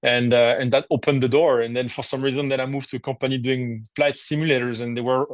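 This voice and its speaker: A man's voice: mid-range voice, level tone, fast talker, no room sound. A man who grew up in Africa, a guest on a podcast, answering in a hesitant way with frequent fillers and restarts. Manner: hesitant, many fillers and restarts, searching for words. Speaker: guest, aviation executive. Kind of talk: podcast interview.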